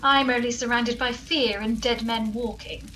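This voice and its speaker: A woman playing a cool, brisk, tough ally in a comedy radio play, deadpan and commanding. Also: on the high side, a little hiss or hum.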